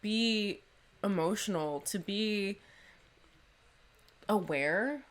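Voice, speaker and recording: A woman's voice: medium pitch, extremely slow. A young woman co-hosting a casual podcast, chatting loosely with plenty of "like" and swearing. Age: young adult. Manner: casual, swears freely, lots of "like". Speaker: podcast co-host. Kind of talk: casual chat.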